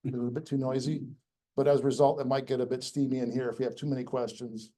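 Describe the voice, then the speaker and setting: A man hosting a live pitch session in a crowded room, chatty and rambling with frequent restarts. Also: little pitch movement, fast, dark tone. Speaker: event host.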